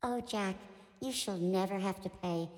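Woman voicing a delicate enchanted harp in deep reverb. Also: unhurried, a little mellow, close to an everyday voice. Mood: tender wonder. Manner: pleading, melodramatic. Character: golden maiden-faced magic harp, plaintive, delicate and frightened. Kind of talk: live radio play acting. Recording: long hall-like reverb.

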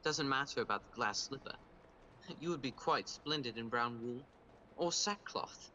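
An actor playing an awkward, earnest young clerk in a radio play, nervous and halting. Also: male voice, medium pitch, animated, pushed and bright, muffled recording, slight background noise. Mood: smitten.

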